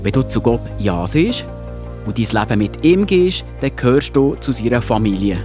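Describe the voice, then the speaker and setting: A man playing a loving, patient father in a children's audio drama, gently reassuring, with a Swiss German accent. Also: mid-range voice, sing-song, moderate pace, faint music behind, natural voice.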